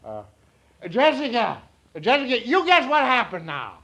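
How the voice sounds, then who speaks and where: High-voiced, flustered, folksy drifter. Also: male voice, wildly swooping pitch, a little slow, a bit bright, heavily acted, rough recording. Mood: excited, flustered. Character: meek, bald, rambling drifter, excitable and simple-hearted. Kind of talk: 1940s radio drama acting. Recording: vintage 1940s radio broadcast.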